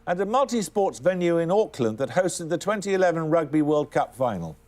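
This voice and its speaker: A man hosting a televised quiz in a crisp, dry, formal manner, reading questions. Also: a little high, big pitch swings, a little fast, darkish tone. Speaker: quiz show host.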